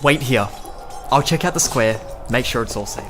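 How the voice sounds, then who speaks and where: Blunt sarky munchkin rebel, low but lively voice, audio drama. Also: female voice, moderate pace. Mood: wry, blunt. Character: wry rebel Munchkin, once the witch's slave. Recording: music under the voice.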